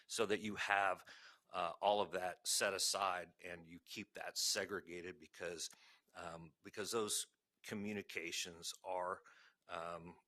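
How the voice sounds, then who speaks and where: A soft-spoken man with a lowish voice and a bright, forceful edge, giving careful advice at a public board meeting. He speaks into a table microphone and stops often for "um" and "uh". His tone is measured and cautionary. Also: little pitch movement. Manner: says he has a soft voice. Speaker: legal counsel advising a state education board.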